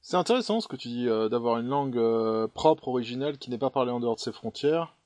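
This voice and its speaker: A man's voice: mid-range voice, lively intonation, fast, a little mellow, dry recording. A man hosting a panel discussion, speaking casually with frequent hesitations. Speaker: host.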